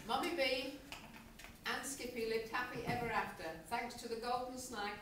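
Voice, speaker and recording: A woman's voice: fairly high pitch, steady tone, normal speed, a bit bright. A woman narrating a comic amateur puppet show in a storybook style. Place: room in a nursing home. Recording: very echoey, noisy, distant room recording.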